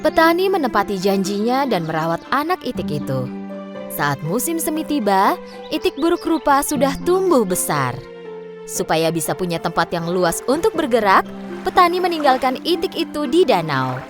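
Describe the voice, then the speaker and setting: A woman narrating a children's cartoon story in a lively, expressive way. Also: a little high, moderate pace. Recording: narration over loud background music.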